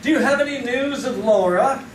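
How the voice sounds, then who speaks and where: Man playing a courteous wealthy gentleman, roomy radio play. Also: very high-pitched. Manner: halting, repeating words when upset. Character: courteous, wealthy married gentleman, shaken by bad news. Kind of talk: old-time radio drama acting, performed live on stage. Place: theater stage, live performance. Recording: roomy, distant stage recording with hiss.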